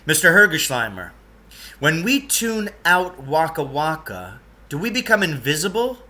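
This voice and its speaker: Man playing an inquisitive bright-voiced boy. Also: on the high side, sing-song, unhurried, full character voice. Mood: curious. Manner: questioning, emphatic. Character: inquisitive, direct boy companion in a storybook.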